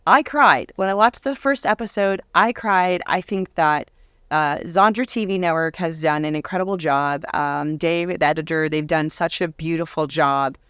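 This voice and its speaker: A woman's voice: sits low, normal speed, a bit bright, clear, resonant tone, dry recording. A woman author and speaker talking casually in a conversational interview, chatty and giggly, in a dry close-sounding recording.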